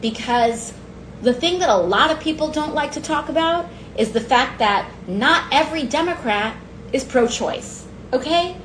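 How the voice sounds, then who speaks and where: A woman's voice: sits high, lively intonation, moderate pace, a bit bright. A woman giving informal political talk in an emphatic manner, in an echoing room. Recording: echoey room, faint background noise.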